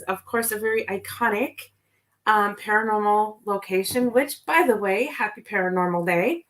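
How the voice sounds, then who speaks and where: A woman with a raspy voice hosts a casual livestream from home, talking into her computer mic. She chats easily with viewers, greets them by name and fills her pauses with ums. Her voice sits at a middle pitch, neither high nor low. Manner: chatty, greets viewers by name, many fillers. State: raspy voice today. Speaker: livestream host, psychic reader. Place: home, indoors.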